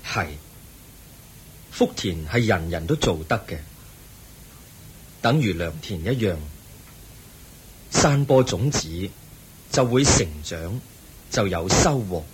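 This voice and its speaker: An actor playing the Buddha, a calm and compassionate teacher who speaks gently and patiently, in a radio play. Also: male voice, deep voice, slight background noise, rough recording. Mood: serene. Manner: gentle, patient, measured preaching. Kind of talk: Buddhist radio drama acting.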